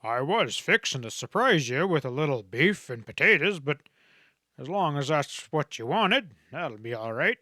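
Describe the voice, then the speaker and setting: A man voicing a folksy, caring rancher father who jokes gently, in a Western audiobook. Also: a little high, big pitch swings, moderate pace, no echo, studio quality, exaggerated voice. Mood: gently joking. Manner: folksy, drawling, gently joking.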